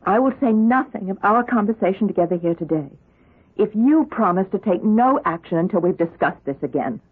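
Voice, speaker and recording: An actress playing an inquisitive, composed woman doctor concerned for her sister, with an expressive, probing delivery in a radio drama. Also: medium pitch, fairly quick, slightly rough. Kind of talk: old-time radio drama acting. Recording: muffled vintage radio recording.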